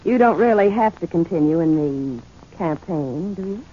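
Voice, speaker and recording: A woman's voice: medium pitch, animated, moderate pace, dark tone, lightly acted. An actress playing a sly, coolly confident female blackmailer with coy, insinuating delivery, in 1940s radio drama style. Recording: muffled old 1940s radio recording.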